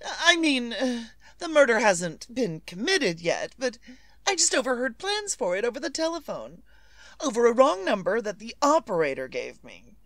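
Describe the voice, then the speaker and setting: A demanding, nervous society wife confined to bed. Her voice is high and swoops dramatically, recorded close and dry. She is imperious and fretful in the manner of a vintage radio suspense play. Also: big pitch swings, normal speed, a bit bright, no room sound. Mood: agitated, urgent. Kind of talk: old-time radio suspense acting.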